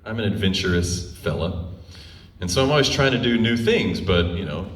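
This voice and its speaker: A man's voice: low-pitched, animated, normal speed. A forty-year-old man telling comic stories to a live audience in a wry, self-deprecating way, often laughing as he talks. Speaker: touring performer telling stories on stage. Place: live stage show before an audience. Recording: roomy live stage, distant mic.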